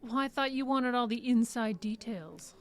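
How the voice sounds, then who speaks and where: An actress playing a caring but defensive caregiver in a radio drama, hesitant and conversational. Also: female voice, a little high, moderate pace, lightly acted. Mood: defensive, uneasy. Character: caring, defensive female caregiver to an old doctor.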